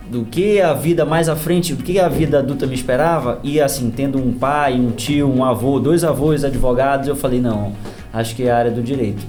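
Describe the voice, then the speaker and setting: A young Brazilian man answers casually as a podcast guest. His pitch is average, and he explains things by restating his phrases. Faint music plays underneath. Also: dark tone. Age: young adult.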